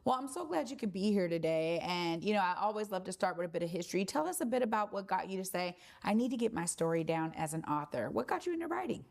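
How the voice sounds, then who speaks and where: Woman talk show host, upbeat and enthusiastic, mid-pitched voice, quick to laugh. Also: sing-song, creaky, a little mellow. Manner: bubbly, enthusiastic, laughs easily.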